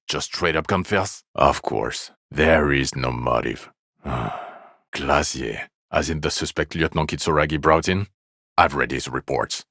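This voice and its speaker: A jaded male cop from a video game, with an extremely low, gravel-edged voice. He is sarcastic and irritable, delivering his lines in a weary, scornful deadpan. The sound is close and dry. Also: no room sound, full character voice. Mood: skeptical, sardonic.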